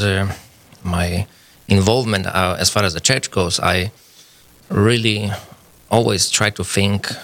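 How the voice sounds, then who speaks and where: A man's voice: deep voice, creaky, a bit bright, no room sound. A man in his forties with a Polish accent, speaking English as a second language as an earnest radio guest who searches for words. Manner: earnest, searching for words, non-native phrasing. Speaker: guest, scientist. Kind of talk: radio studio conversation. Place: radio studio.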